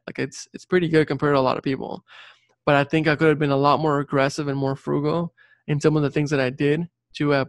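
A young man with a gravelly fry in his voice and a fairly even melody speaks in a dry, close recording. His first language is Spanish. He answers casually and earnestly as an interview guest, circling back to stress his points. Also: a little high, no echo. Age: late 20s or 30s. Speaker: interview guest, civil engineer and career coach.

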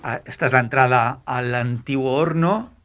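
A man's voice: medium pitch, normal speed, fairly bright tone. A man with a Spanish accent from Spain interviews conversationally on location as a TV reporter, his voice slightly muffled by a face mask. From Alicante province, Spain. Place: indoors in old pottery workshop and brick kiln. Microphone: handheld reporter's microphone.

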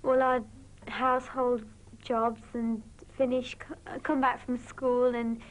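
A British teenage girl speaks in a high voice. She answers informally, as a student being interviewed in a small room. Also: flat delivery, takes it slowly, rough recording. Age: teens. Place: small indoor classroom.

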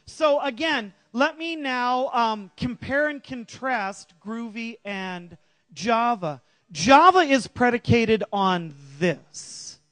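A middle-aged man with a loud voice giving an animated tech conference talk on stage, quizzing the audience as he goes. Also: sits high, no room sound. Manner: animated, jokey, asks the audience questions. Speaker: conference speaker. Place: large auditorium stage. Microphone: handheld microphone.

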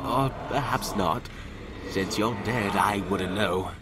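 A man voicing a wordy phantom animatronic hippo in a fan voice reel, full of unhurried mock sympathy. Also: lowish voice. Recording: noisy track with ambience.

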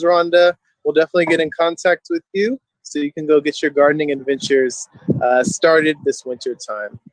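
A man's voice: fairly high pitch, dry recording, rough recording. A man, casually hosting an event outdoors in a garden and speaking into a handheld phone. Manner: relaxed, filler-heavy. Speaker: garden host. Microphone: phone held at arm's length.

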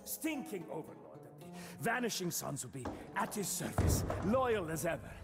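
An actor playing a sly, boastful, sneering mercenary lieutenant in a video game. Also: male voice, high voice, big pitch swings, moderate pace. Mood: smug. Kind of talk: video game cutscene acting. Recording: music under the voice.

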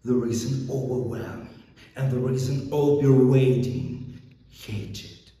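A man acting a vengeful, self-righteous police interrogator in an intense theatrical monologue, shifting between hushed menace and outbursts. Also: medium pitch, fairly even intonation, slow, natural voice. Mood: simmering hatred. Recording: echoey hall, long reverb, background noise.

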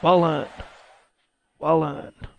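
A man commentating his own wrestling video game match in loud, excitable play-by-play, exclaiming and swearing at the big moves. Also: fairly high pitch, drawn-out words, vocal fry. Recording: loud game crowd and noise behind.

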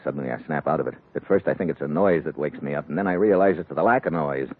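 An actor playing a wry, tough secret agent in a vintage radio drama, clipped and world-weary. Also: male voice, very deep voice, quick pace, slight background noise. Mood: tense. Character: hard-boiled male secret agent hero, wry and tough. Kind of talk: 1950s radio drama acting and narration. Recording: muffled old radio recording, music behind.

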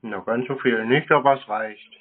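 A woman's voice: a bass voice, moderate pace, breathy edge. A woman answering briefly and laconically in a casual phone conversation. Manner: terse, laconic one-word answers. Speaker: call recipient. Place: phone call. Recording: tinny narrow phone line.

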